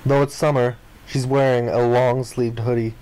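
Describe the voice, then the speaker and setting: A man's voice: medium pitch, flat delivery, moderate pace, mellow, clean tone, poor audio. Man dramatically reading story text aloud. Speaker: let's player reading a visual novel aloud. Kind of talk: dramatic read-aloud narration of game text.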